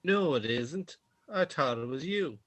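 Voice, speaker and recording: A man's voice: on the high side, expressive, unhurried, no room sound. A man playing a sentimental, reproving middle-aged father in an amateur radio drama. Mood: resigned.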